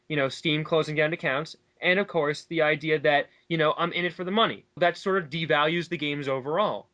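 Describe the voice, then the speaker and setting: A man's voice: fairly high pitch, sharp tone. A man in a casual, opinionated discussion, talking at length in an emphatic, argumentative way. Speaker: online commentary co-host.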